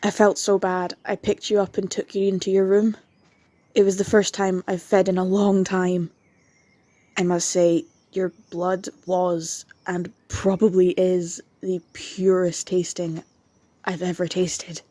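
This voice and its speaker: A woman playing a soft-voiced vampire girl. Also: fairly low pitch, level tone, measured pace, dry recording, lightly acted. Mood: confessing. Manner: soft, intimate, slips into whispers. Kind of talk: ASMR roleplay.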